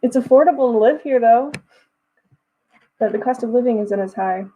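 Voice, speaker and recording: A woman's voice: a little high, moderate pace, mellow, rough recording. A woman of twenty-one from Texas, chatting casually with her livestream viewers and answering their messages as they come in. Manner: chatty, reads chat messages aloud and answers them. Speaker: livestream host.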